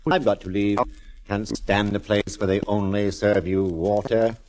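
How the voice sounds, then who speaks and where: A man plays a whimsical gentleman sidekick in an old radio mystery. His voice is deep. He speaks with droll, affectionate archness in period radio style. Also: fairly even intonation, unhurried, close to an everyday voice. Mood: droll, breezy. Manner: arch, droll. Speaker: radio drama actor. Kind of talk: 1940s radio acting. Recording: vintage 1940s radio recording.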